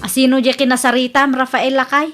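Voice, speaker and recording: A woman's voice: high-pitched, flat delivery, fairly quick, a bit bright, faint music behind. A woman with an Ilocano accent playing a proud, gossipy village wife in a comedy radio drama. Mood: pleased, curious.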